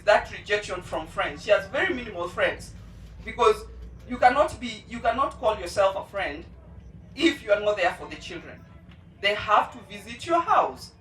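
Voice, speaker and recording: A mother of two special-needs children giving a heartfelt personal testimony at a charity launch, earnest and pausing often. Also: mid-range voice, a bit bright, far from the mic, a little hiss or hum. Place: indoor event venue. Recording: roomy hall, voice from off-mic.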